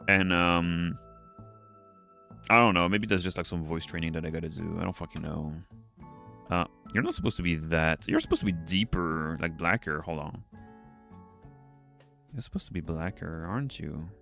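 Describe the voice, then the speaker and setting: A man with a deep voice, English not his first language, chatting casually on a livestream as he draws, rambling, muttering to himself and swearing now and then. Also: normal speed, creaky, pauses often, bright, forceful tone, faint music behind. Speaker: streamer. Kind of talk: casual livestream chat while drawing.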